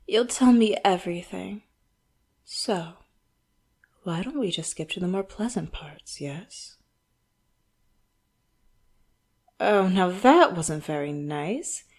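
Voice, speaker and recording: A woman playing an elegant, condescending villain interrogator in an audio roleplay, speaking in a theatrical, mock-polite, taunting way. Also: medium pitch. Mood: mock-polite, stern. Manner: slow, theatrical, mock-polite, taunting, drops into hushed asides. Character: elegant, wealthy villain boss, coolly menacing and condescending interrogator. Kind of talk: audio roleplay, scripted monologue to the listener.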